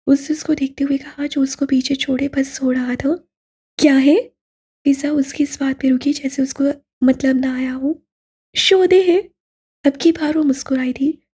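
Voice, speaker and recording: A woman narrating a romantic novel as a scripted audio story, in a soft and very breathy voice. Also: high-pitched.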